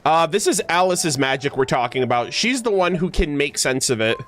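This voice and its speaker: Female game streamer: casual, excitable reactions, slangy talk, faint game music underneath. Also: sits low, brisk. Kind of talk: casual gameplay reaction commentary.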